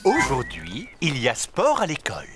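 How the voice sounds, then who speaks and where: Low, very expressive female cartoon narrator. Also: moderate pace, loud music behind the voice. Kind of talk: scripted children's cartoon narration.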